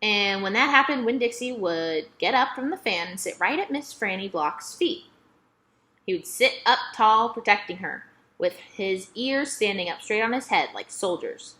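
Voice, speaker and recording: A woman narrating a children's novel aloud in an expressive, emphatic storytelling manner. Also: mid-range voice, normal speed.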